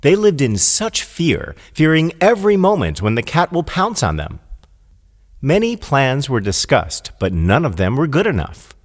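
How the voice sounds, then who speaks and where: A man's voice: on the high side, sing-song, a little slow, long reverb tail. A man narrating a children's fable as a storyteller, reading from a script.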